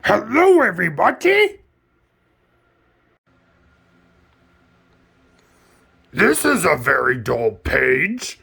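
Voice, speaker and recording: Furry lil puppet monster for a kids storybook, gravelly, super dramatic, swoops all over the place. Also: male voice, high-pitched, sing-song, pushed and bright, full character voice. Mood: excited, alarmed. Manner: melodramatic, drawn-out, emphatic delivery without contractions. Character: lovable furry male monster, excitable, easily frightened, pleading. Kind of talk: theatrical children's storybook puppet performance.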